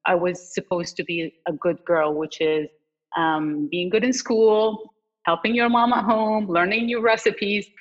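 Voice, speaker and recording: A woman's voice: fairly low pitch, fairly bright tone, muffled recording. A woman from Iraq and Canada, a fitness coach, chatting casually as a podcast guest and laughing often. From Iraq, then Canada. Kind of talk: casual interview answers.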